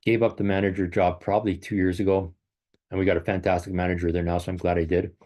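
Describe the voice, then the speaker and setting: A man in his sixties with a somewhat low voice talks loosely to a virtual running clinic. He wanders from point to point, catches and corrects himself mid-sentence, and keeps things upbeat. He sounds like he is on a computer call. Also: flat delivery, quick pace, a little mellow. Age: 60s. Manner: rambling, self-correcting, upbeat asides. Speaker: running coach and race director. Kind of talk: casual talk to a virtual clinic. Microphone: computer video call.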